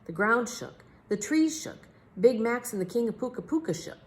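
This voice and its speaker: A woman reading a children's story aloud as a storyteller, with expressive, measured phrasing. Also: fairly high pitch.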